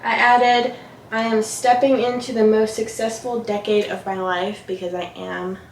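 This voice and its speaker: A woman in her late twenties talking casually to her viewers in a relaxed, chatty vlog from her home office. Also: on the high side, moderate pace, echoey room, slight background noise, low-quality recording. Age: 20s, about to turn 30. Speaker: vlogger.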